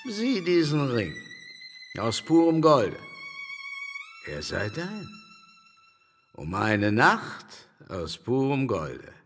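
An actor playing a vain, boastful, amorous old man in a pompous, declamatory German radio drama style. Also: mid-range voice. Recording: long reverberant hall with music behind.

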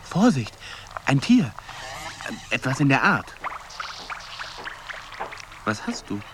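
An actor playing a dreamy, searching boyfriend, musing and puzzled in a poetic radio drama. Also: male voice, mid-range voice, very animated, slow, noisy background. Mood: alert, puzzled.

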